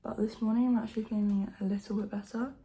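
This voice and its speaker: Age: teens. Quality: croaky, gravelly, mellow. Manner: soft and nervous. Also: female voice, medium pitch, fairly even intonation, quick pace. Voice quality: croaky, just woken. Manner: soft, half-whispered, nervous chatter. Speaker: vlogger. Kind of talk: casual to-camera vlog. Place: at home indoors.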